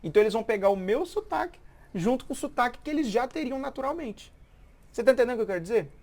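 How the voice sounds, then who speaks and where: A woman's voice: a little high, expressive, rapid-fire, darkish tone. A woman talking casually on a live stream and explaining a point like a language teacher, repeating herself and asking if the listener follows.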